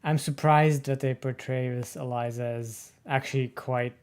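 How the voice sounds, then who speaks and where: A man's voice: medium pitch, steady tone, moderate pace, a little mellow, clean tone. A man streaming a game, reacting and chatting casually during a let's play.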